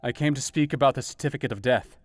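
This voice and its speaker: An actor playing a grave, conscientious doctor, narrating his own account in a measured, formal, sombre way in an audio drama. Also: medium pitch, faint music behind, lightly acted. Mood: practical, urgent. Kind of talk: dramatized first-person narration.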